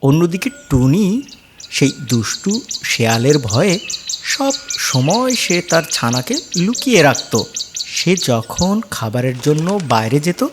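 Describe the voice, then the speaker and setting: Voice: mid-range voice, big pitch swings, takes it slowly, noisy background. A narrator reading a scripted children's story. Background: background music.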